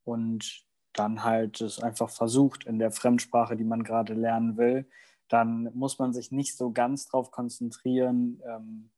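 A young man who grew up in Germany, a university student answering interview questions in a relaxed, casual way. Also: mid-range voice, measured pace. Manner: relaxed, with many fillers. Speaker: guest.

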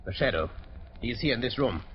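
A defiant male villain played by a man, a scheming doctor in vintage radio drama. His medium-pitched voice carries menace through a muffled old recording. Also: animated, fairly quick, a little hiss or hum, close to an everyday voice. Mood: wary. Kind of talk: 1930s radio drama acting.

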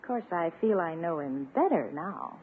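A woman playing a poised, gently teasing lady courted by rivals in a 1950s radio sitcom, coy and softly probing. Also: on the low side, very animated, normal speed, phone-quality sound. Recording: thin, noisy 1950s radio recording.

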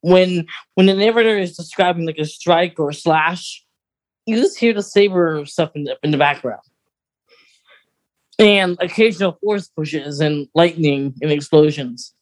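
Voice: mid-range voice, measured pace, rough recording. A podcast co-host talking in a casual, conversational style about things they enjoy as a fan.

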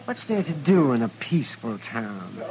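A man acting a crusty, wry, excitable frontier town doctor with an American accent in an old radio western. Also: medium pitch, moderate pace, creaky, rough recording. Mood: wry, cheerful. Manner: fussy, exclamatory, wry.

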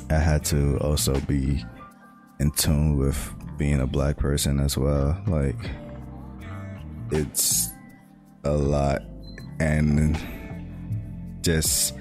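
A young American man talking in a halting, reflective way, full of "like" fillers, in a casual monologue. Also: very deep voice. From United States. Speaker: speaker sharing personal reflections. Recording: guitar music playing underneath.

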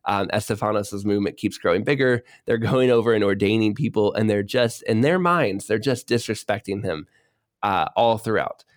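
Low-voiced man retelling history casually. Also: normal speed, dry recording, studio quality. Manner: animated retelling with voiced quotes and fillers. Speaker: podcast co-host telling church history. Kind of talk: casual storytelling chat.

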